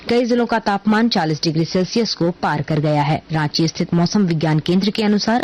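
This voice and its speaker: A woman reading a scripted radio news bulletin in a formal, measured announcer's manner. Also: lowish voice, darkish tone, low-quality recording.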